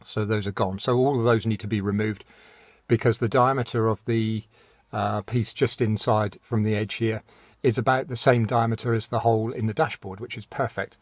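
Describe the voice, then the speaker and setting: A British man with a fairly low voice talks through a practical DIY job. He is informal and matter-of-fact, feeling for his words as he goes. The sound is fairly dry, with little room echo. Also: steady tone, moderate pace. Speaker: DIY how-to presenter. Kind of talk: informal hands-on tutorial.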